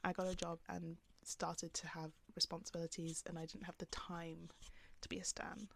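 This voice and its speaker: Young woman vlogger, soft calming voice, lowish with some fry, loose filler-heavy chat. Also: moderate pace, a little hiss or hum. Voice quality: calm, soft voice. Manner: rambling, filler-heavy with many "like"s. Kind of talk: casual talk to camera. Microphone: camera on the speaker.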